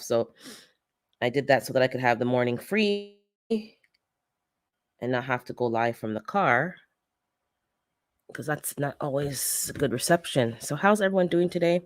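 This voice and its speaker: A woman's voice: very deep voice, a little mellow. A woman hosting a casual gardening livestream, a bit stuffy and congested, chatting and laughing as she tells stories and greets viewers. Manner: chatty storyteller, laughs often, greets chat by name. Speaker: gardening channel host.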